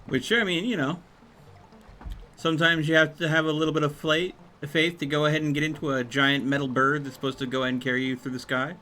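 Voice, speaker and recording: A man streaming a game, chatting casually in a rambling, halting way. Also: a little high, fairly even intonation, bright, forceful tone, soft background music. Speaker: live streamer. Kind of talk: casual gaming livestream chat.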